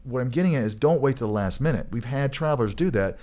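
A man's voice: mid-range voice, lively intonation, quick pace, darkish tone. A man from Michigan co-hosting a casual chat, rambling and joking, often restarting his sentences. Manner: rambling, joking, self-correcting. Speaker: co-host.

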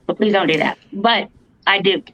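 A woman with a fairly deep voice, earnestly telling a story as a podcast co-host, halting with fillers and restarts. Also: fairly bright tone. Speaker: podcast co-host, paranormal investigator. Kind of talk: casual storytelling. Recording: dull lo-fi podcast audio, dry.

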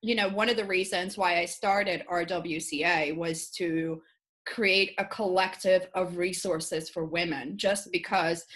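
A woman hosting a podcast, chatting casually and telling things in an animated, candid way. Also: lowish voice, unhurried. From Albania. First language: Albanian. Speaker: podcast host. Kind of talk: casual conversational interview.

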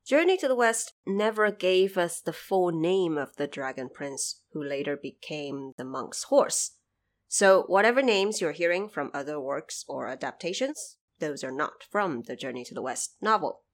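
A woman hosting a podcast, giving explanatory, lightly scripted commentary in a measured, didactic way with emphatic phrasing. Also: lowish voice, animated. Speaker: podcast host explaining background of an audio drama series.

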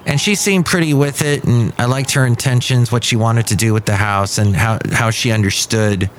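A man's voice: medium pitch, moderate pace, slight background noise. Chatty middle-aged man rambling off topic. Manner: chatty, drifts off topic, frequent restarts. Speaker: podcast host. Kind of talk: casual rambling monologue. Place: café.

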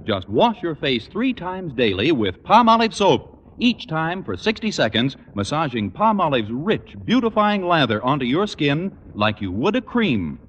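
An American man reading a vintage radio commercial with brisk, instructive salesmanship. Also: on the high side, very animated, a bit bright, slightly rough. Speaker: commercial announcer. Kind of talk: 1940s-50s radio sponsor pitch. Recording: muffled old radio recording.

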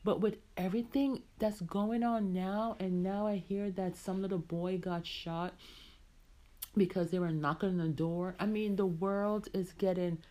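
A woman's voice: mid-range voice, steady tone, measured pace, dark tone. A middle-aged woman hosting a podcast as a casual, reflective personal monologue, repeating phrases for emphasis. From Jamaica. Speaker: podcast host.

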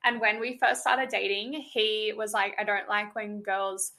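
A woman's voice: medium pitch, flat delivery, measured pace, sharp tone. A young woman in her twenties co-hosting a podcast, chatting casually and laughing between remarks. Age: 20s. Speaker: podcast co-host. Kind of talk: casual chat.

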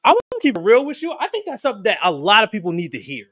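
A man's voice: high-pitched, wildly swooping pitch, racing, a bit bright, no room sound, clean studio sound. A man in his forties co-hosting a podcast in casual chat, blunt and profane. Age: 40s. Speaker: podcast co-host.

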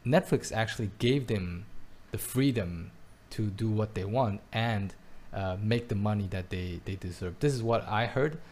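Low-voiced guy who grew up in belgium, stumbles and restarts a lot, faint hiss behind. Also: measured pace, few pauses, darkish tone. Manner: hesitant, frequent restarts and repeated words. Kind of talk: casual chat. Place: his home, lives in Taiwan.

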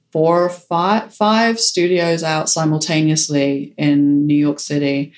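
A woman director and photographer answering interview questions in a frank, decisive way, with a strong voice. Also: low-pitched. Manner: decisive, frank, self-assured.

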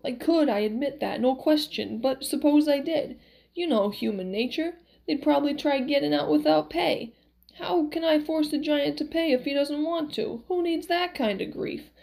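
A woman's voice: high-pitched, moderate pace, vocal fry, darkish tone, voice pushed up. A woman voicing a cranky, stubborn old man who haggles and grumbles in an audiobook story, brusque and colloquial. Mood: grumbling.

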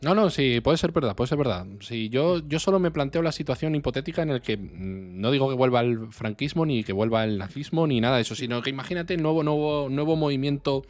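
A man's voice: medium pitch, brisk, few pauses, a little mellow, dry recording. A man with an accent from Spain co-hosting a casual debate podcast, probing with hypothetical questions in colloquial speech. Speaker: podcast co-host.

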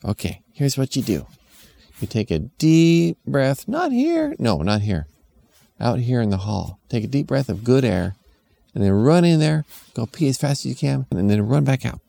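A man's voice: medium pitch, big pitch swings, normal speed, gravelly, warm tone, voice pushed up. A man voicing a mother who speaks in a sugary, mock-enthusiastic sing-song. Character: sugary mother, falsely cheerful about waiting.

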